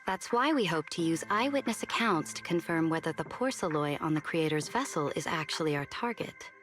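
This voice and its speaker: A woman voicing an elegant, poised and teasing female official in a video game, sultry with a slight rasp and measured delivery. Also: sits low, lively intonation, a bit bright, faint music behind. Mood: composed, serious. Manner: measured, composed, silky.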